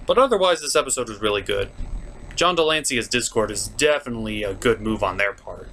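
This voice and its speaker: A man's voice: mid-range voice, creaky, slight background noise. A man reviewing a cartoon as a show host, theatrical and exasperated in his opinionated commentary. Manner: theatrical, exasperated, swings into shouted outbursts.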